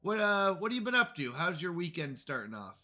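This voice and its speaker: A man's voice: high-pitched, big pitch swings, brisk, bright, forceful tone. A man with a congested voice chatting casually to viewers while playing a strategy game on a live stream. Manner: chatty, greets chat viewers.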